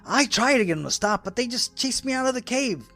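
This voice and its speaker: An actor playing a folksy, rambling old American hermit in a radio drama, with a gravelly, raspy voice and an excitable rustic manner. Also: male voice, very high voice, brisk, soft background music, full character voice. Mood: excited. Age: older.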